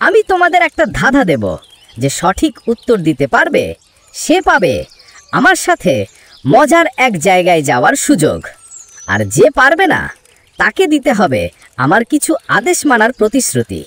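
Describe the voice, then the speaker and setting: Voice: mid-range voice, huge pitch swings, moderate pace, fairly bright tone. An actor voicing a sly, crafty grey fox in a children's cartoon, wheedling and theatrical with false friendliness. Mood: slyly challenging. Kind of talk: children's cartoon story dialogue.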